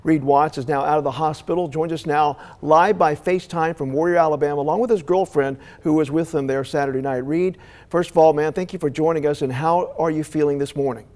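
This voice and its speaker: An American man anchors morning television news. His voice sits a little higher than most men's. He delivers stories and handles live interviews in a broadcast news style. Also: fairly even intonation, a little fast, darkish tone.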